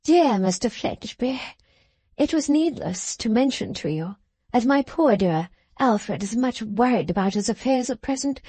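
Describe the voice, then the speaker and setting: A woman reading aloud and voicing a gushing, scheming society wife who pleads slowly and sweetly. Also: on the high side, mellow, breathy edge, no room sound. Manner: slow, pleading, sugary. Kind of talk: audiobook character voice.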